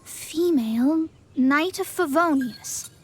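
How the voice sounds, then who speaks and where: A woman's voice: sits high, slow, slight background noise. A woman playing a proud young female astrologist in an anime game, speaking with pompous, formal self-assurance. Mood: impatient, instructive. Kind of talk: scripted anime game acting.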